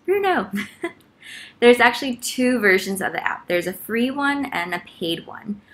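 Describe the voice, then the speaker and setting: A woman's voice: a little high, sing-song, a little slow. A young adult woman talking casually and informally to camera in a living room, giving a chatty how-to as a vlogger. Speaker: vlogger and teacher. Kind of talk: casual tutorial talk to camera. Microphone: laptop mic at about arm's length.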